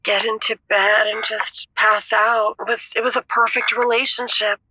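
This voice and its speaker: A woman playing a frazzled, hot-tempered caller on an improvised comedy show, abrupt and quick to yell. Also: fairly high pitch, little pitch movement, husky, poor audio. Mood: exasperated. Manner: volatile, abrupt switches to yelling. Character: frazzled, hot-tempered woman, a spin fanatic with a clingy partner.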